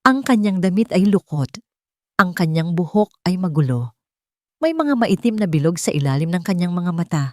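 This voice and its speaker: A woman with a Filipino accent narrating a scripted love drama in a measured, dramatic way. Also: lowish voice, expressive, darkish tone, dry recording, studio quality. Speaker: storyteller.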